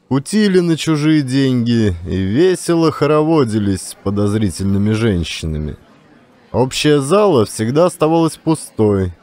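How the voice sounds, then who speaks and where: A man narrating classic literary fiction as an expressive audiobook storyteller. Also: medium pitch.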